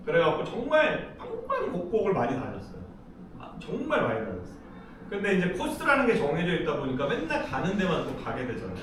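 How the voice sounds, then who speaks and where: A man's voice: high-pitched, fast talker, far from the mic, noisy background. Man telling stories in an echoey hall. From Seoul, South Korea. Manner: chatty, lively storytelling with frequent laughs. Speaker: lecture speaker. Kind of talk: casual storytelling lecture. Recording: echoey hall, speaker off-mic.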